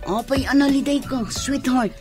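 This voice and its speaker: Woman acting high-voiced pleading young sweetheart, Ilocano. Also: moderate pace, darkish tone, soft background music, lightly acted. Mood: insistent. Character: young engaged person set on wedding gown, pleading. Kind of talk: Ilocano radio drama acting.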